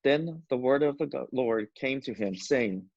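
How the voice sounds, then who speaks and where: A man's voice: mid-range voice, lively intonation, unhurried, a little mellow, dry recording, lo-fi sound. A man narrating a scripture story as a scripted reading.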